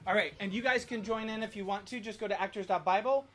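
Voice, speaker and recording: A woman's voice: mid-range voice, quick pace. A woman hosting a casual family livestream, giving children short coaching instructions like a drama leader. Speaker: host leading a family Bible activity. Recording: noisy, roomy sound, distant mic.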